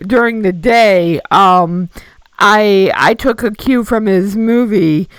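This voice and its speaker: A woman co-hosting a radio interview, asking questions in a halting, rambling way and often repeating words. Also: medium pitch, clear, resonant tone, dry recording. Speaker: radio co-host. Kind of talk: casual interview chat.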